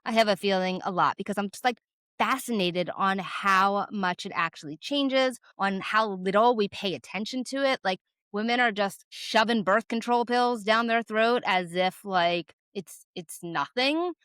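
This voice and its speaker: A woman in her twenties hosting her own vlog, talking casually and rambling with lots of filler words and sudden lifts in pitch for emphasis. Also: unhurried, clear, resonant tone, no echo, studio quality. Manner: animated, jumps up in pitch for emphasis. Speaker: vlogger host. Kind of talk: casual talk to camera.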